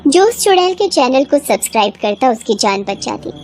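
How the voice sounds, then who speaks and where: A woman's voice: high voice, sing-song, moderate pace, darkish tone, faint music behind. A woman storyteller reading a scripted horror bedtime story. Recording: clear background ambience noise.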